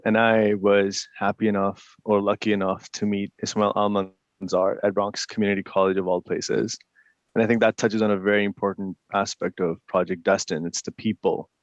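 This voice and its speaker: A man's voice: lowish voice, dry recording. A young man from Pakistan, a division manager, telling his story confidently over a video call. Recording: dry, dull video call audio.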